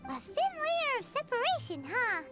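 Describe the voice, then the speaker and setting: A woman's voice: very high-pitched, big pitch swings, normal speed, over music. A woman voicing a tiny, childlike, curious fairy companion in an anime game, chirpy and eager. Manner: chirpy, inquisitive.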